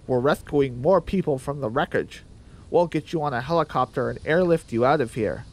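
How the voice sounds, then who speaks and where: A man playing a brisk, skeptical male rescue firefighter in a radio drama. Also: mid-range voice, a little mellow, close to an everyday voice. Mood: brisk, urgent.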